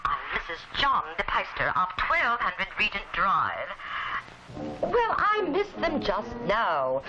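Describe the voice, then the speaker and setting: A woman's voice: mid-range voice, wildly swooping pitch, slow pace, loud music behind the voice. An actress playing a flustered, wealthy society lady with a fussy, genteel, gushing manner in a 1960s training film. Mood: fretful, haughty. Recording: noisy old 1960s film soundtrack.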